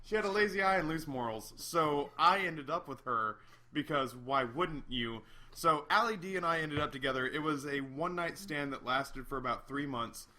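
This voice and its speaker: A man's voice: on the high side, moderate pace, bright, forceful tone. A tipsy man in his thirties hosting, telling a story to friends at a house by a fire, rambling and repeating himself, recorded on a laptop mic. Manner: rambling, repeats words, laughs often. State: tipsy from drinking. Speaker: host and storyteller. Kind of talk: casual storytelling among friends. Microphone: laptop microphone.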